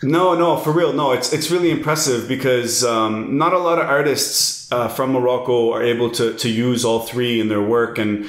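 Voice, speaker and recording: A man's voice: mid-range voice, reverberant. A young man from Lebanon hosting an interview, eager and casual, calling his guest "bro". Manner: enthusiastic, uses "bro", quick bursts. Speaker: host.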